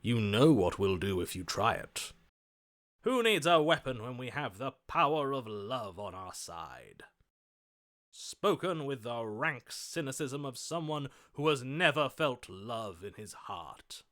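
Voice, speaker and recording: A man's voice: mid-range voice, sing-song, a little slow, fairly bright tone. A man voicing a lovestruck, melodramatic romantic hero in a video game, speaking in a theatrical, florid, overwrought manner. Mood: defiant, passionate. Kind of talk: video game character voice acting.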